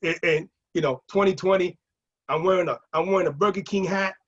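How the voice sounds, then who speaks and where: A middle-aged man casually telling stories in an animated way, acting out what people said. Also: high voice, fairly quick. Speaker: guest sharing nightlife memories. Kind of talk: casual anecdotal storytelling. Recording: muffled lo-fi audio.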